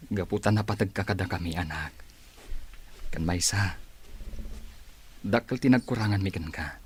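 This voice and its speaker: A man with an Ilocano Filipino accent acting a proud, gentle middle-aged father in a radio drama. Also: sits low, normal speed, a little hiss or hum, natural voice. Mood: proud, tender.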